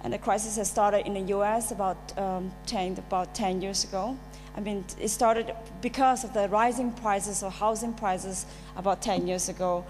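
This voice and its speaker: A woman from Singapore, a finance professor, addresses a panel audience, pausing on frequent fillers. Her voice sits in the middle range. The hall adds a long reverb, with faint music behind her. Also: medium pitch, steady tone, darkish tone. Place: lecture hall.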